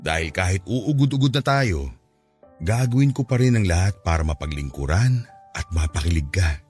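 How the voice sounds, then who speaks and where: An aging Filipino farmer and devoted husband in a radio drama. His voice is low, with an earnest, affectionate delivery. A light bed of music sits under him. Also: lively intonation, normal speed, a little mellow, husky. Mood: sweet, playful. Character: aging, hardworking, devoted farmer husband, strict sweet father. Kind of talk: Tagalog radio drama acting.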